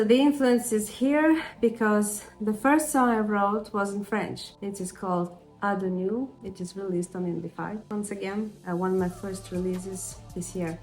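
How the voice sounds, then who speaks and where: Russian-accented woman musician with soft music behind. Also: mid-range voice. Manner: thoughtful, pausing with "uh" and restarting words. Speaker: independent musician, interview guest. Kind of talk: casual interview answers.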